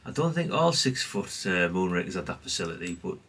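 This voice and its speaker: A man's voice: sits low, very animated, fairly quick, fairly bright tone, distant mic. A man giving a casual, rambling, understated show-and-tell, speaking off the cuff. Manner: rambling, self-effacing, understated. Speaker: hobbyist presenter.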